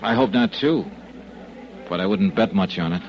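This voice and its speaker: An actor playing a tough, wry American investigator with clipped, slangy, sardonic delivery in 1950s radio detective style. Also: male voice, low-pitched, animated, normal speed, soft background music. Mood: brisk, wry. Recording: muffled old radio broadcast.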